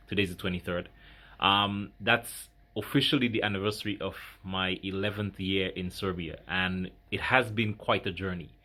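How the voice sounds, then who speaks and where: A man in his thirties from Grenada, talking reflectively to his viewers in a halting, casual way with many fillers. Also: sits low, a bit bright. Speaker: vlogger. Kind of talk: casual talk to camera.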